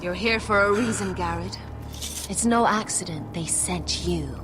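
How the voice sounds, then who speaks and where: An actress playing a commanding woman leader of a forest stronghold, calm and persuasive as she urges. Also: fairly low pitch, a little slow, close to an everyday voice. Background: music.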